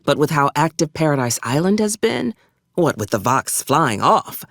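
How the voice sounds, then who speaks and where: A woman's voice: low voice, very animated, moderate pace, dry recording, full character voice. A woman playing a sardonic, bloodthirsty corporate officer in a video game, with dry, haughty delivery and folksy, ornate, old-fashioned phrasing. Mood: resigned, dry. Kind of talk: video game character dialogue.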